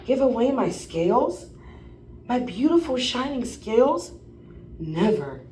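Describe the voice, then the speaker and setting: A woman's voice: a little high, sing-song, slow pace, mellow. A woman voicing a vain, self-pitying male fish in a storybook, with a dramatic, plaintive and indignant delivery. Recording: roomy, distant mic, noisy.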